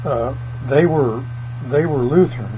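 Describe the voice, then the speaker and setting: A man's voice: mid-range voice, unhurried. An older man, telling family history in a folksy, meandering way. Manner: folksy, meandering, plain country grammar. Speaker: oral history interviewee. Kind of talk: rambling family reminiscence. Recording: dull lo-fi tape with mains hum.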